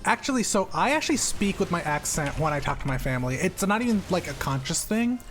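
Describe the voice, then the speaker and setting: A man's voice: high-pitched, sing-song, fast, fairly bright tone, slight background noise. A man streaming a game, chatting loosely with a slight stutter, restarting his sentences and laughing often. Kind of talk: casual chat while gaming.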